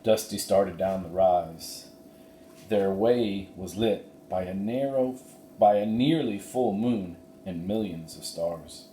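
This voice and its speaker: A man giving an expressive narration of a children's storybook. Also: sits low, takes it slowly. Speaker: story reader. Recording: roomy echo, faint background noise.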